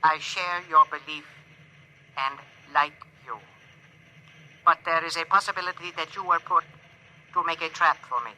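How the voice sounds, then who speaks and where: A man's voice: a little high, moderate pace, long pauses, bright, forceful tone, slight background noise, low-quality recording. An actor in a 1950s radio drama voicing an earnest, cautious male alien with stilted, formal phrasing through a radio-transmission effect. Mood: earnest, sorrowful.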